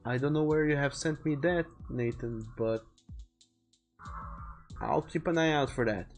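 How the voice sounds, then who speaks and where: A man's voice: a little high, measured pace. Man casually thinking aloud over music. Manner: rambling, repeats and rephrases as he plans. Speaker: live streamer illustrating a visual novel. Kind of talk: casual livestream chat, thinking aloud while planning artwork.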